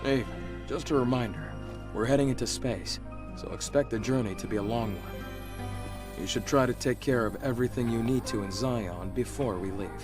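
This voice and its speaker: A man voices a reassuring mission planner from a video game. He speaks in a steady, practical way at an average pitch. Music plays clearly behind him. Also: moderate pace, lightly acted. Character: calm, reassuring male guide and mission planner.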